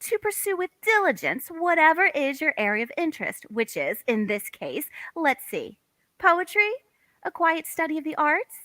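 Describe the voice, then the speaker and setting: Woman playing a bright, sharp-tongued feisty housewife. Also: high voice, sing-song, moderate pace, no room sound, heavily acted. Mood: sarcastic, accusing. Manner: arch, pointed, emphatic. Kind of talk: theatrical table read of a comic play.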